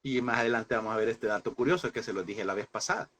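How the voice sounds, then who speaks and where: A man teaching a Bible class, asking his listeners questions, repeating them and slowing down on key points. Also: lowish voice, fairly bright tone. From El Salvador. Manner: addresses listeners as brothers, asks and repeats questions; slows and stresses key words.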